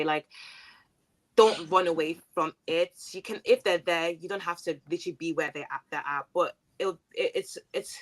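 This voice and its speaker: A woman's voice: low-pitched, low-quality recording. A young woman in a panel discussion giving encouraging advice, repeating words as she finds them. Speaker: panel guest.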